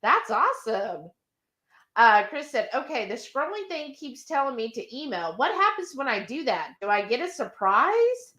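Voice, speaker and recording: A woman's voice: high voice, sing-song, bright, forceful tone. A woman hosting a live cooking stream, chatting casually and reading viewer comments aloud in an encouraging tone. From Texas. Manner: chatty and encouraging, with drawn-out asides.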